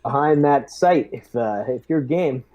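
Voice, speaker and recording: A man with a mellow, average-pitched voice plays a squad leader at a tabletop game. He gives orders in a measured way, on slightly dull audio. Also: expressive. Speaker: player acting as squad lieutenant. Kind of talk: in-character tabletop game talk.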